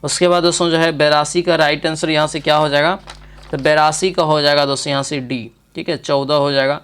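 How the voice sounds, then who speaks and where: A man's voice: fairly high pitch, level tone, brisk, fairly bright tone, smooth. A man with a Bihari Hindi accent teaching through exam questions, often checking that listeners follow. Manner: instructional, repeatedly checking with listeners. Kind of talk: exam question walkthrough lesson.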